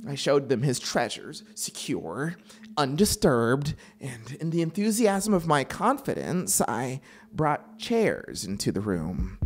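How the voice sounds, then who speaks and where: A narrator playing a guilt-mad murderer in a theatrical, drawn-out horror audiobook performance, feverish and unraveling. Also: mid-range voice, sing-song, pitched below the performer's own voice. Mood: hushed, smug. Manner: theatrical, drawn-out, swinging from hush to shriek. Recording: synthesizer music behind the voice.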